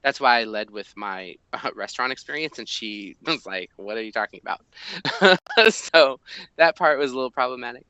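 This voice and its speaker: A man in his thirties, a language teacher, talking through an assessment form at his desk, thinking aloud with many ums and pauses. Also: mid-range voice, animated, bright, forceful tone, smooth, no room sound, dull audio. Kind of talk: screen-recorded walkthrough of an assessment. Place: small indoor room at a desk.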